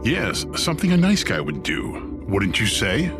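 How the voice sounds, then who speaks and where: Wry devil boss, low-pitched and highly animated, theatrical wordplay, audio drama villain. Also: male voice, unhurried, music in the background. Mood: businesslike, wry.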